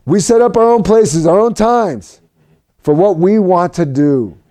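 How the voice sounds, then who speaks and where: A man from Mexico preaching a sermon for an online service, animated and rising to shouts at his peaks. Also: sits high, a little slow. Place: home recording for a virtual service.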